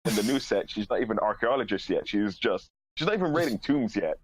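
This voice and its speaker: A man's voice: on the low side, lively intonation, quick pace, slightly rough, poor audio. A man talking casually as a podcast panelist, blunt and opinionated, prone to swearing.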